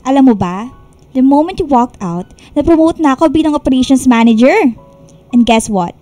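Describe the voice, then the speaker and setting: An actress playing a spiteful, gloating ex-supervisor with a taunting, haughty manner in a Filipino radio drama. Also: female voice, high voice, expressive, normal speed, mellow, soft background music. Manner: taunting, haughty, mixes in English.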